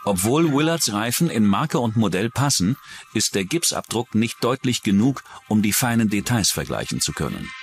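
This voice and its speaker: A man's voice: on the low side, big pitch swings, moderate pace. A man narrating a scripted true-crime documentary as its narrator. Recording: music bed under the voice. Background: music.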